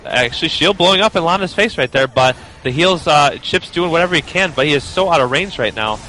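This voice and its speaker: A young man co-casting a live game tournament, teasing his partner between fast play-by-play calls. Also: a little high, expressive, bright, forceful tone, slight background noise, rough recording. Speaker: esports caster.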